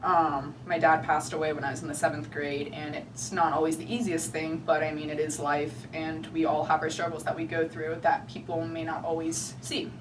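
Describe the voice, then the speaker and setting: Low, monotone teenage girl. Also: normal speed, few pauses. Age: teens. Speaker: student panelist. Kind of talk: casual panel talk. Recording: distant mic, faint noise.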